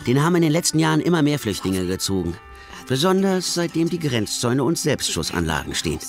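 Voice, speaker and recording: An actor playing a cynical, self-justifying small-time drug dealer in a radio crime drama, flippant and wry. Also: male voice, mid-range voice, very animated, brisk, over music. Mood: wry, musing. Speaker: protagonist and narrator. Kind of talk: German radio crime drama acting and first-person narration.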